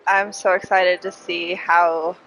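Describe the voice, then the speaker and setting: A woman's voice: lowish voice, flat delivery, takes it slowly, fairly bright tone, soft background music, rough recording. A congested woman game streamer reacting casually to a playthrough, gushing and easily amused.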